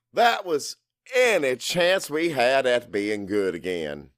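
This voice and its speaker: A man's voice: mid-range voice, fairly bright tone, no room sound, clean studio sound. A man reading a first-person audiobook narration in a dramatic, brooding and intense manner.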